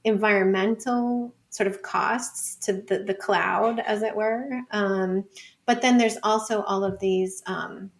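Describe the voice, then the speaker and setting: A woman guest in a podcast conversation, with noticeable creak in an average-pitched voice. She explains her field informally, padding her points with "sort of", "like" and "you know". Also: steady tone, slow pace. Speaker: interview guest, data researcher and book author. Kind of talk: conversational podcast interview answers.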